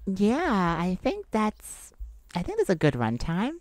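A woman's voice: medium pitch, huge pitch swings, takes it slowly, darkish tone, no echo. A woman hosting an improvised ASMR-style self-care podcast, talking in an informal, reassuring way. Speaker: podcast host.

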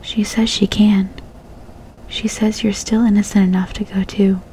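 An earnest, sad young boy whispers his words in a flat, even murmur, as a woman reads him aloud. The tone stays soft and mellow throughout this whispered storybook reading. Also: mid-range voice, measured pace, slight background noise, close to an everyday voice, rough recording. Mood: pleading.

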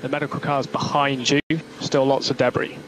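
A man working as a race engineer, giving clipped, calm, repeated instructions over team radio. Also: medium pitch, normal speed, crowd noise. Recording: noisy motor racing radio, engine noise. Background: car engine noise.

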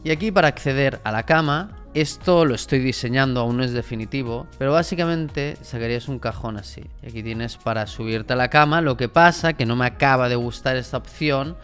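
Guy, 30s, chatty and relaxed, talking at his desk mic, bit of music behind. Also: mid-range voice, fairly quick, hardly any pauses, clear, resonant tone. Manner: relaxed, chatty, gestures a lot while explaining. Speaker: vlogger and designer. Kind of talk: casual explanatory vlog. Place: small room at home, indoors. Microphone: large studio mic on boom arm.

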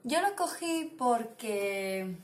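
A woman's voice: a little high, big pitch swings, drawn-out words. A young woman with a Castilian Spanish accent chatting casually and rambling informally to the camera as a book vlogger. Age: young adult. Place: small indoor room at home. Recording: echoey room, clear background noise.

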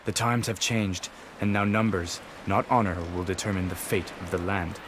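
A man playing an earnest, brooding young samurai in a video game, speaking gravely and introspectively. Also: low voice, steady tone, normal speed, a bit bright, a little hiss or hum. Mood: solemn, reflective. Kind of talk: solemn video game voice acting. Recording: game cutscene with clear music behind.

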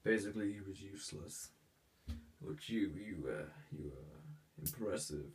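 A man's voice: low-pitched, expressive, measured pace, vocal fry, recorded from a distance, slight background noise. A man voicing a haughty, sick young heir in an ASMR roleplay, formal and hesitant with fillers, stuffy with a cold and sneezing.

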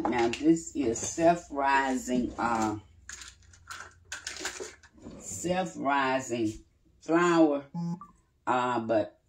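An older woman with a drawl talks slowly and easily, pausing with "uh" as she works. She is recorded in her own kitchen by a phone camera set a few feet away. A faint hiss sits under her voice. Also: very deep voice, a little mellow. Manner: unhurried, chatty, talks while working with pauses and fillers. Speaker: home cooking channel host. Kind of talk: casual cooking demonstration. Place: home kitchen, indoors. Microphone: phone camera a few feet away on the counter.

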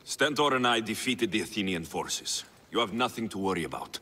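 An actor playing a bold young Spartan warrior with a Greek accent, blunt and accusatory, in a video game. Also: male voice, on the low side, expressive, brisk, pushed and bright. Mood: proud. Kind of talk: video game cutscene acting. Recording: reverberant hall, some background noise.

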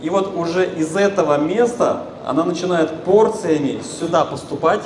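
A man's voice: fairly high pitch, normal speed. Echoey man lecturing in a noisy hall. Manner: explanatory, colloquial, puts questions to listeners. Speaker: health lecturer speaking on stage. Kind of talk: informal public lecture to an audience. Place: stage in a large exhibition hall, recorded from the audience.

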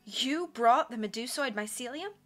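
A woman's voice: fairly high pitch, expressive, normal speed, faint music behind, lightly acted. A woman voicing a deceitful, insistent man who leads an island in a storybook. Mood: outraged. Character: deceitful island leader, man, placating and accusing.

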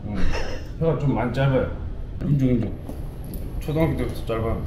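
A man's voice: medium pitch, a little fast, background noise. A young male baseball player chatting in a playful, teasing, defensive way with a teammate in a small lounge. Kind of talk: casual teasing chat. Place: small indoor lounge room. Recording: roomy indoor echo.

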